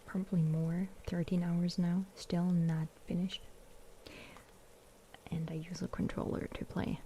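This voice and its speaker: Woman ASMR streamer, whispers close to the mic, slow and mellow, replies to chat. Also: lowish voice, little pitch movement, slight background noise. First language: German. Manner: whispering, reading out and answering chat. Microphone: sensitive ASMR microphone.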